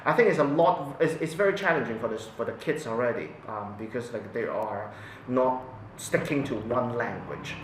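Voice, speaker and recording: Man, 40s, Hong Kong-born, native Cantonese speaker, halting unscripted talk, distant echoey mic. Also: medium pitch, lively intonation, a little hiss or hum. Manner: hesitant, many restarts and fillers. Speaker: parent sharing his experience. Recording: echoey room, mic set back.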